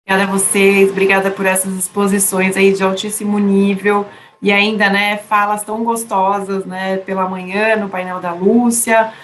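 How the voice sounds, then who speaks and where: A woman's voice: mid-range voice, level tone, moderate pace, a little hiss or hum. A woman giving chatty closing remarks as the organizer of an online seminar, with frequent fillers. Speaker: event organizer closing the session. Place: online webinar.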